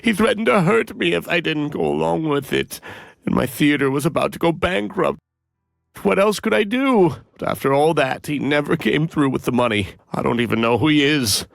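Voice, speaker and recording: An actor playing a defensive, cornered theater owner in a video game, pleading and self-justifying. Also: male voice, a little high, moderate pace, creaky, no room sound. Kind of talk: dramatic video game acting.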